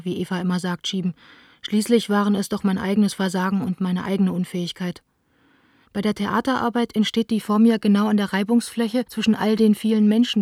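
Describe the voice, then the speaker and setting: A young woman theatre director played by an actress speaks her private letters in a soft, mellow voice, close and dry. She is wounded and self-blaming, confiding as in an intimate radio play. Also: medium pitch, dry recording, natural voice. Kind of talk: radio drama, letter read aloud.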